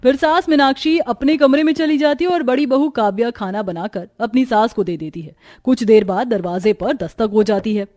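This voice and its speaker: A woman's voice: a little high, normal speed, few pauses, darkish tone, no echo, clean studio sound. A woman narrating a scripted moral story.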